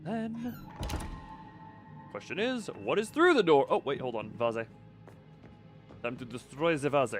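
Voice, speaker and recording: A man doing live gameplay commentary, thinking out loud and often repeating and drawing out his phrases as he muses to himself. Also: mid-range voice, lively intonation, measured pace, pauses often, faint music behind. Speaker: video game streamer.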